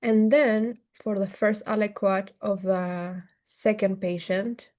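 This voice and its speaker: A woman from Peru, a doctor applying to neurology, presenting a clinical case in a measured, methodical way over a video call. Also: medium pitch, level tone, darkish tone.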